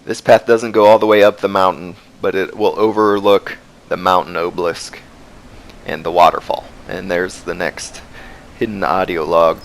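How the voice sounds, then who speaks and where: A man's voice: low-pitched, little pitch movement, normal speed, a little hiss or hum, poor audio. A man narrating a video game walkthrough as a guide. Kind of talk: walkthrough commentary.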